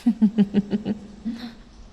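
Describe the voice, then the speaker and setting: A woman voicing an evil, seductive lamia toying with her prey in a breathy, sultry, gloating ASMR roleplay whisper. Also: medium pitch, steady tone, lo-fi sound. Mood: teasing threat. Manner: slow, sultry, drawn-out, gloating purr. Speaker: audio roleplay performer. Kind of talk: ASMR roleplay, addressing the listener. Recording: long cave-like reverb, faint background noise.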